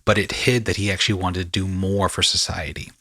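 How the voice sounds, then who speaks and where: A male podcast host with a fairly low voice and a bright, punchy edge. He rambles through stories conversationally, dropping in slangy asides. The sound is dry and close. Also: flat delivery, brisk, slightly rough, no room sound.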